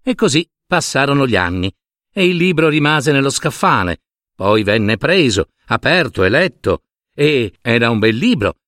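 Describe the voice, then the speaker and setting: A man's voice: mid-range voice, very animated, moderate pace, a bit bright, no echo, clean studio sound. A man narrating a fairy tale expressively, theatrical and with conversational asides. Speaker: storyteller.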